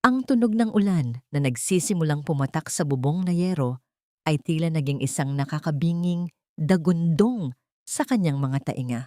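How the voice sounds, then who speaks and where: A woman's voice: sits low, animated, normal speed, warm tone, no room sound, clean studio sound. A woman with a Filipino accent reading a scripted, dramatic story as narrator.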